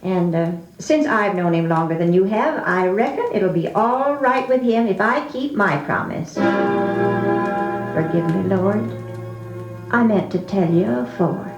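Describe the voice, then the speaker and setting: A proud, devout old granny with a rural American accent, folksy and unhurried. She sounds like 1940s radio drama, recorded in an echoing room with loud music. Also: mid-range voice, animated, muffled recording. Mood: solemn. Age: older. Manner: folksy, slow, earnest.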